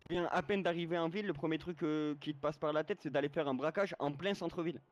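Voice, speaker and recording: A man's voice: fairly high pitch, fast talker, highs cut off. A man explaining and arguing in an online role-play chat like a moderator, lecturing and often checking that he is understood. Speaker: game server moderator.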